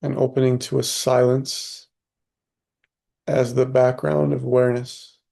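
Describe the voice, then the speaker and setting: A man leading a guided meditation as a teacher, unhurried and leaving long pauses. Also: mid-range voice, flat delivery, vocal fry, mellow.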